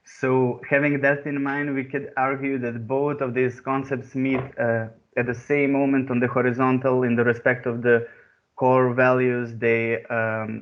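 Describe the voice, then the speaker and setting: A man in his twenties who grew up in Serbia, giving an artist talk over a video call, pausing often with fillers and restarts. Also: medium pitch. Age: 20s. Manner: hesitant, many pauses and fillers. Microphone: computer mic on a video call. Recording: muffled video call audio.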